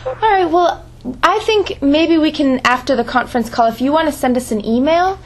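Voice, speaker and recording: A woman's voice: high voice, expressive, moderate pace. Encouraging woman hosting a conference call. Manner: encouraging, enthusiastic. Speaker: host mentor on a volunteer conference call. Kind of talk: informal conference call advice.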